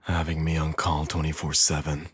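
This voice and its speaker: Man acting a very deep, smug villain. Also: little pitch movement, normal speed, breathy edge, dry recording, exaggerated voice. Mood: persuasive, coaxing.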